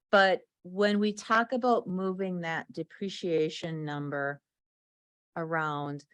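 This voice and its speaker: A woman with a lowish voice walks a board through a financial summary in a conversational way, stopping and restarting as she goes. The sound is dry and close. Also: no room sound. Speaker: county official presenting to the board. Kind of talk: explaining a document at a public meeting.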